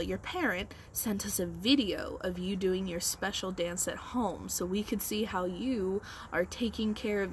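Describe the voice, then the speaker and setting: Lady teacher doing storytime for little kids, unhurried, encouraging, slight noise in background. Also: mid-range voice. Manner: encouraging, simple phrasing for children. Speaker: children's teacher. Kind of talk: storybook read-aloud and talk to children.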